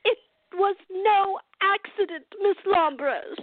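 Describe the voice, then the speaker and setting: Woman as a high-voiced cantankerous old lady. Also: takes it slowly, dry recording. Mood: outraged. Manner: stern, formal, scolding. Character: cantankerous, commanding woman in her eighties. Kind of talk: radio theater acting. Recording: tinny, phone-like narrow band.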